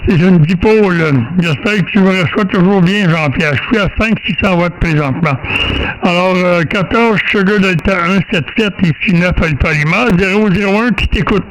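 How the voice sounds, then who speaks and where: An older man with a Québécois French accent chats casually over amateur radio in a halting, rambling way with drawn-out pauses. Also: sits high, rough recording. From Quebec, Canada. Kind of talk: casual radio contact chat. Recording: amateur radio audio with faint noise.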